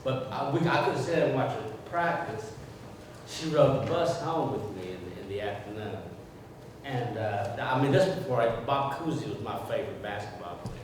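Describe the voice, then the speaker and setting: A man's voice: mid-range voice, expressive, moderate pace. An older man speaking at a memorial gathering, reminiscing informally and rambling, with frequent restarts. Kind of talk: informal spoken remembrance. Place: indoor gathering hall. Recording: echoey hall from far off, with clear background noise.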